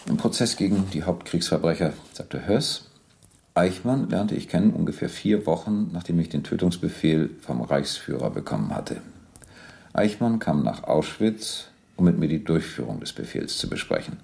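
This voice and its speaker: Deep, gravelly, stern police interrogator. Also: male voice, sing-song, normal speed, darkish tone, exaggerated voice. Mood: formal, reading evidence. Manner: formal, probing, insistent. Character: stern, methodical police captain interrogating a war criminal. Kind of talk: German radio docudrama acting, reading documents aloud.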